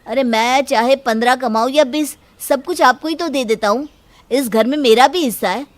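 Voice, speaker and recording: Proud, wronged younger brother, acted by a woman, very high pitch, firm and indignant, moral tale. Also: moderate pace, natural voice.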